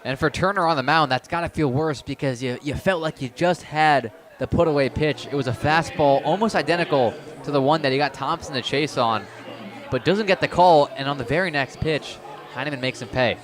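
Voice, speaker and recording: A man's voice: fairly high pitch, brisk, a crowd in the background. A man giving conversational, explanatory color commentary on a live baseball radio broadcast. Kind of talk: live radio sports analysis.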